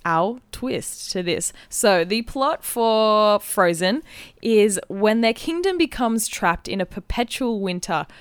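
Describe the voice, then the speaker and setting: Female podcast host, smooth and clear, chatty and giggly, close dry studio sound. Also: mid-range voice, expressive, takes it slowly, no room sound. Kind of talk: casual chat, explaining a game. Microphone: close podcast microphone.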